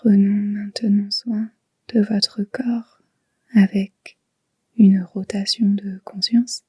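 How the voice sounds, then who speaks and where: A woman guiding a yoga nidra relaxation in a soft, whispery, breathy voice, soothing and unhurried. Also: mid-range voice, little pitch movement, no room sound. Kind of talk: slow guided relaxation meditation.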